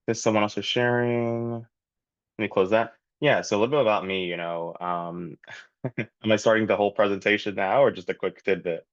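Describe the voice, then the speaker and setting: A man's voice: fairly low pitch, fairly even intonation, normal speed, no room sound. A young man from Jamaica with no accent, giving an earnest, casual webinar talk full of "you know" and "like". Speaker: guest speaker, entrepreneur. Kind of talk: webinar presentation. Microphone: video call. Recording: dull, lo-fi, dry call audio.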